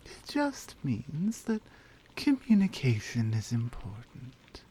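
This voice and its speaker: A woman playing a strong, tender lioness in an intimate ASMR roleplay, speaking softly and earnestly with deliberate, halting phrasing. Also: rumbling low, wildly swooping pitch, a little hiss or hum, exaggerated voice. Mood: earnest, affectionate.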